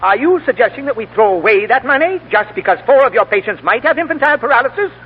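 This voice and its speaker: A man acting a brusque, dismissive small-town board chairman in a 1940s radio drama, blunt and folksy, with a husky voice. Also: extremely high pitch, expressive, fast, exaggerated voice. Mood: incredulous, dismissive. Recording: echoey, phone-like 1940s radio recording.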